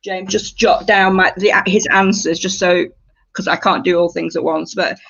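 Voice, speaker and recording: Creaky-voiced woman leading a workshop. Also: lowish voice, steady tone, moderate pace, fairly bright tone. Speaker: creative writing workshop leader. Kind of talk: conversational group teaching. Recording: dull lo-fi audio.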